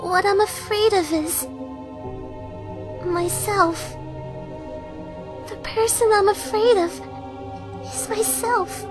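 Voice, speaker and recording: A woman playing a frightened, despairing young girl in a visual novel fan dub, speaking in a tearful, halting way. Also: very high voice, over music. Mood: anguished. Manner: slow, tearful, halting delivery.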